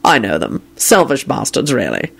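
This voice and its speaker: A woman voicing a cool, dry-humoured male minister who speaks flatly and firmly, in a storybook reading. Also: very deep voice, normal speed, vocal fry, slightly rough, voice pushed down, exaggerated voice.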